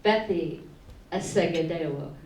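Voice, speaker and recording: A woman's voice: low voice, a little slow, darkish tone. A woman facilitating an event, informally guiding a small group through introductions. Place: indoor breakfast roundtable session. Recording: distant mic in echoey noisy room.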